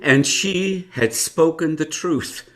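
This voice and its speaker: An actor playing a haunted older uncle who narrates a grim story in a hesitant, deliberate, dramatic way for a radio drama. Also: male voice, a little high. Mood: grave storytelling. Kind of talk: live radio drama acting.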